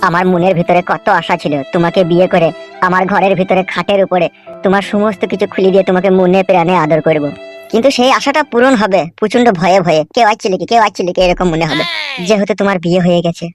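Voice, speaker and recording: A man's voice: high voice, quick pace, close to an everyday voice. Man acting a yearning cartoon lover. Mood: yearning, amorous. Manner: sentimental, wooing. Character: jilted young male lover, sentimental and yearning. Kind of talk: amateur comedy cartoon dubbing. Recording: music under the voice.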